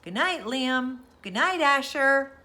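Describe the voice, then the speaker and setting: A woman reading a children's storybook aloud as bedtime narration, in an expressive storytelling manner. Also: sits high, slow pace.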